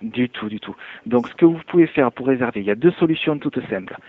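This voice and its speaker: A man speaks over a thin, narrowband telephone line in a mid-pitched voice. He sounds like a helpful receptionist, patient and methodical as he explains step by step. The sound is dry, with no room echo. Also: lively intonation, quick pace. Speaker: hotel night receptionist. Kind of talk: phone customer service, spontaneous conversation. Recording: tinny narrowband phone line.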